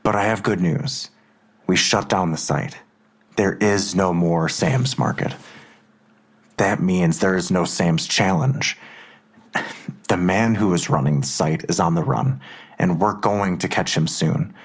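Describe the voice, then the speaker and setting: An actor playing a worried, protective father in an audio drama, husky and earnest, reassuring but insistent. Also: sits low, a little slow.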